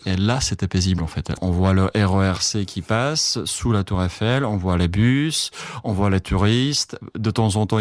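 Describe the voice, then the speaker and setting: A man's voice: low-pitched, no room sound, studio quality. A Czech-native man speaking careful, hesitant French, with many fillers and restarts as he answers interview questions. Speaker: radio journalist.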